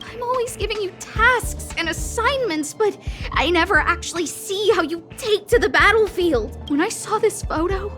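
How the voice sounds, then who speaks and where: Game casting: earnest, guilt-ridden young lady researcher, very high voice, theatrical delivery, soft music underneath. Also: expressive, normal speed, exaggerated voice. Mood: tearful, apologetic. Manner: theatrical, over-earnest, self-accusing. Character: melodramatic, guilt-stricken young noblewoman, earnest lead researcher. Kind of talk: anime-style video game voice acting.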